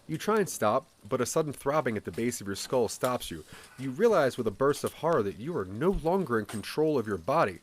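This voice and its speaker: A man's voice: medium pitch, big pitch swings, fairly quick, a little hiss or hum. A man narrating a scripted second-person audio drama in a dramatic storytelling delivery. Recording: music under the voice. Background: background music.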